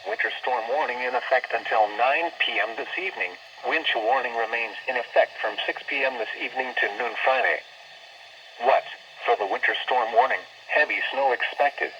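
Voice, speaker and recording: Bright, band-limited synthetic male weather announcer. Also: mid-range voice, muffled recording. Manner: stiff, synthetic, evenly measured reading. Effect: radio broadcast. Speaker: automated weather radio announcer. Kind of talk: scripted weather bulletin, read out. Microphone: radio receiver speaker picked up by recorder. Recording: band-limited, noisy weather radio speaker.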